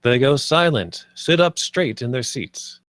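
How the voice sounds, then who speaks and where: A man's voice: medium pitch, lively intonation, unhurried, a bit bright, no echo. A man reading stage directions as scripted narration at a screenplay table read.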